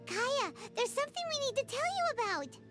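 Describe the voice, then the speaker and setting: Super high squeaky little fairy girl, lively up and down voice, game music under it. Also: a little fast. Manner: talks about herself in the third person. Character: tiny excitable fairy girl companion. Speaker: companion character. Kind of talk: video game voice acting.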